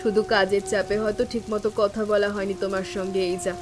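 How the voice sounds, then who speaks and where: A woman playing a caring elder sister-in-law in an animated family drama, speaking in a reassuring, gently instructing manner. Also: mid-range voice, quick pace, close to an everyday voice. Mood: affectionate. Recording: music bed with rain sounds.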